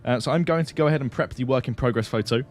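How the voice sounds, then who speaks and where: A man's voice: mid-range voice, very fast, darkish tone. A man hosting a flight-sim live stream, chatty and laughing often as he greets viewers by name. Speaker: livestream host. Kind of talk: casual live stream chat.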